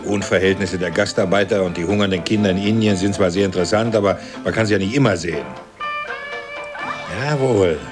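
An actor in a radio drama playing a smug, cynical middle-class husband, sneering and condescending. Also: male voice, sits low, fairly quick, music in the background, close to an everyday voice. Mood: scornful. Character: smug, cynical bourgeois husband watching television. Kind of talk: German radio drama acting.

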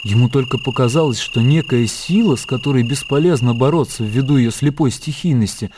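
A man's voice: medium pitch, fairly quick. A man reading scripted literary narration as the narrator of a radio drama. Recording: clear outdoor ambience noise behind.

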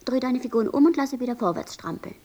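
A woman playing a naive, puzzled angel in a radio play, with a soft, breathy voice and a plain, questioning manner. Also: high-pitched, lively intonation, fast talker. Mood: chiding.

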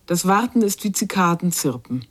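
An actress playing a grave, unsparing narrator in a literary radio drama, speaking in measured, incantatory short phrases. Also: female voice, lowish voice, expressive, breathy edge. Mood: grave, melancholy. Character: female narrator of a child's inner torment, grave, unsparing.

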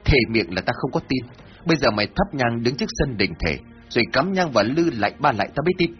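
Casting: man playing a harsh bullying village accuser, shouting and lively, lo-fi. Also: mid-range voice, quick pace, close to an everyday voice. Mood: angry. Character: gruff, hot-tempered male villager accuser. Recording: dull lo-fi with faint music.

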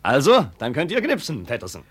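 An actor in a 1950 radio play, playing a pompous, scornful farmer with a self-important, theatrical way of talking. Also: male voice, on the high side, wildly swooping pitch, a little fast, slightly rough, exaggerated voice. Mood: bossy. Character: pompous, scornful farmer hosting a family birthday.

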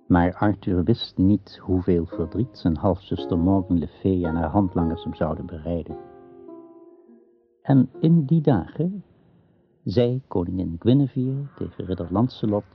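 Deep-voiced man narrating over plucked strings. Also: expressive, measured pace, lo-fi sound. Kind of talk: scripted storytelling narration in radio drama. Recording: thin, band-limited old radio recording. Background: plucked guitar music.

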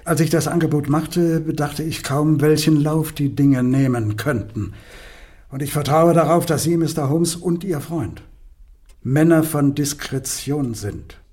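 An actor playing a dignified, formal Victorian gentleman in a radio detective drama, speaking solemnly and deliberately. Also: on the high side, breathy edge. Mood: solemn, guarded.

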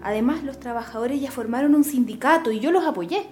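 An actress playing an earnest, idealistic young Chilean daughter of a landowner in a radio drama. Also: female voice, high voice, brisk, a little mellow, lightly acted. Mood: friendly, settled.

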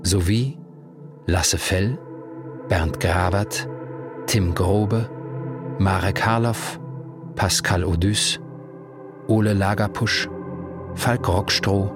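A man announcing a radio play's title and credits in a formal, even manner. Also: sits low, slow, pauses often. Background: music.

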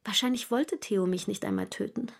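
Creaky-voiced, secretive diary-keeping woman. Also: mid-range voice. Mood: fearful, confiding. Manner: intimate, deliberate, dropping into whispers. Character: troubled, secretive actress confiding in her diary. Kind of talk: radio drama diary monologue. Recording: faint music behind the voice.

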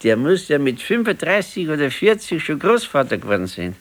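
A Bavarian man in his sixties, wistful and quietly stubborn. His voice sits a little high and moves in wide, lively swings. He muses in short, plain colloquial phrases, as in a naturalistic radio play about an ailing old husband. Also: fairly quick. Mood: weary, reflective.

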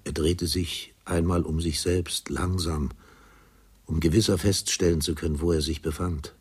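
A man narrating a literary radio drama in a husky, measured, grave manner. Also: fairly even intonation. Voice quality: deep, husky.

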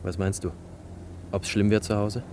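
Casting: male actor as young fiancé, fairly low voice, soft and earnest, radio drama over faint noise. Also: level tone, moderate pace, natural voice. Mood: reassuring. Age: young adult. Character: gentle, reassuring young bridegroom in love. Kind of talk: serious German radio drama acting.

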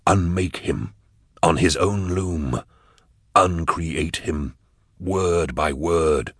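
A man voicing a grim, unyielding male mastermind, terse, cold and deliberate, in an audiobook story. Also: deep voice, a bit bright, slightly rough, dry recording, clean studio sound, exaggerated voice. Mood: cold, determined.

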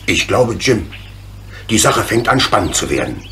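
An actor playing a sensible, hearty locomotive driver with a creaky voice and plain-spoken manner in a children's radio play. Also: male voice, on the low side, sing-song, moderate pace, pushed and bright, a little hiss or hum. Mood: curious.

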